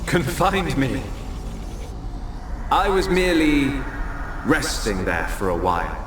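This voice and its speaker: A man voicing an arrogant creator god in a game cutscene, pompous and condescending in measured speech. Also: mid-range voice, animated, noisy background. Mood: lofty, contemptuous. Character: arrogant godlike creator, male, serene and contemptuous. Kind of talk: dramatic game cutscene acting. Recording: echoing voice under loud score.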